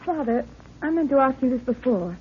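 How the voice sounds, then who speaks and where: High-voiced weary young explorer's daughter. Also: female voice, expressive, fairly quick. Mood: wry, uneasy. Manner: weary, plaintive, wry. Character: tired, complaining young adult daughter of an explorer. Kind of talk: 1930s radio adventure acting. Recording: tinny, noisy 1930s radio recording.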